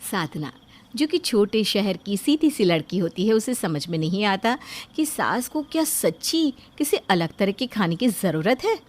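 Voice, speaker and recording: A woman's voice: mid-range voice, very animated, unhurried, darkish tone, a little hiss or hum. A woman reading a scripted family story as a narrator.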